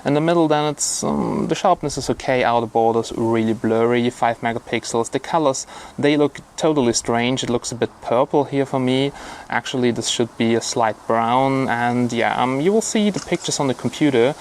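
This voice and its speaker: A man with a medium-pitched voice reviews a gadget in a casual, unscripted way. He is blunt and informal, often pausing and repeating words as he works through his commentary. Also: slight background noise.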